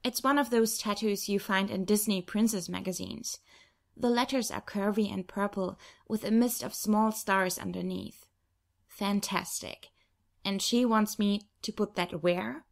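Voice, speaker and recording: Woman reading fiction expressively, mid-pitched. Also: a little slow, creaky. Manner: expressive storytelling. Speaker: audiobook reader. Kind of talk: scripted first-person fiction narration.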